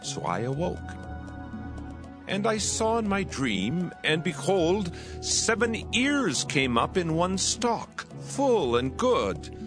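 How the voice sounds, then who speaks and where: A man playing a troubled Egyptian king in a dramatized scripture reading, solemn, deliberate and theatrical. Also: high voice, very animated, full character voice. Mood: troubled, wondering. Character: troubled Egyptian king recounting a strange dream. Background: soothing background music.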